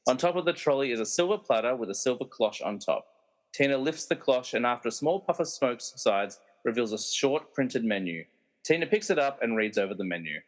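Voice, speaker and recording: A man's voice: mid-range voice, lively intonation, a little fast. A man narrating a comic podcast radio play and hosting its quiz with a tongue-in-cheek manner. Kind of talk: scripted comic narration with casual quiz banter.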